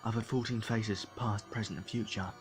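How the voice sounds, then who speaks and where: Casting: male actor as ancient time traveller, grave and weary, lowish register, dramatic monologue, faint music. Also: steady tone, moderate pace, natural voice.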